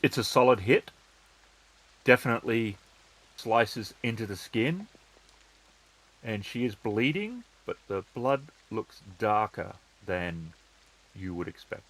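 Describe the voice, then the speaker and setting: A man's voice: on the low side, expressive, slow, pauses often, a bit bright, rough recording. A man narrating the outcomes of actions as game master in a tabletop game.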